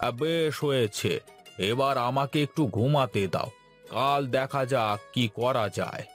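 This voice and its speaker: A male actor plays a lazy village landowner from a cartoon. He blusters and exclaims, stretching out his interjections and getting flustered easily. Music plays along behind his mid-pitched voice. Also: measured pace, close to an everyday voice. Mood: genial, pleased. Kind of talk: Bengali cartoon voice acting.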